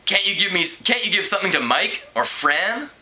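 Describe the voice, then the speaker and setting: A man's voice: high-pitched, sing-song, brisk, sharp tone, pitched above the performer's own voice, heavily acted. A man voicing a harried, overworked newspaper reporter in a storybook, informal and grumbling. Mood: exasperated.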